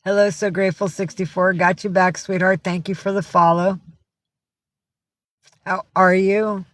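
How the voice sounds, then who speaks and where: A woman's voice: mid-range voice, level tone, measured pace, no echo. Female livestreamer, casual and blunt, rambling delivery with loose swearing, recorded dry at home. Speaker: livestream host. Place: indoors at home with cats.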